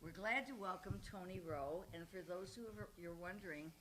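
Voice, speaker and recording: Older woman, loud voice, low pitch, community room, host of a residents' meeting. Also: normal speed, a little hiss or hum, poor audio. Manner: loud voice, warm welcoming announcer tone, laughs often. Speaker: community committee member introducing a guest. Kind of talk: live introduction and interview at a residents' gathering.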